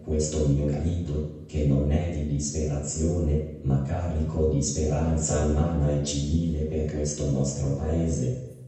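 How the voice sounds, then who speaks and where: An actor playing a solemn, dignified man, reading an open letter as a grave, measured public plea. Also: rumbling low, warm tone. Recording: very echoey, distant from the mic.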